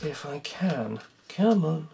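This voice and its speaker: A croaky speaker rambles through a self-mocking running commentary while taking apart a cassette player at home. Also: mid-range voice, big pitch swings, slow, noisy background. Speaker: hobbyist repair vlogger.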